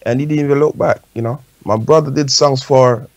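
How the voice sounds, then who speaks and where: A man's voice: mid-range voice, steady tone, fairly quick, darkish tone, no echo. Dry-sounding Jamaican man, conversational. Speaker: talk show guest. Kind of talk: casual radio discussion.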